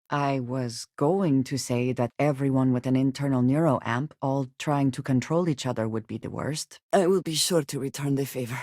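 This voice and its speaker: An actress playing a guarded, earnest and devout woman spacefarer in a video game, speaking in measured, formal phrases. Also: extremely low pitch, darkish tone, dry recording, studio quality. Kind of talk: video game character dialogue.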